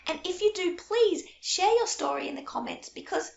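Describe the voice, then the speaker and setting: A middle-aged woman with a high voice talks to camera as a teacher of small children. She tells her stories in a warm, reassuring way. The sound is roomy and a little muffled. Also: animated, moderate pace, gravelly, a little hiss or hum. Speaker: early childhood French teacher. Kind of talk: casual direct-to-camera talk. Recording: echoey room, dull lo-fi sound.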